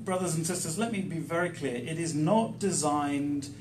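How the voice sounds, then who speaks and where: A man preaching in short, emphatic, plain-spoken phrases, pausing for an interpreter. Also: on the high side, darkish tone. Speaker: guest preacher teaching the Bible. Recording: echoey room, distant mic, faint noise.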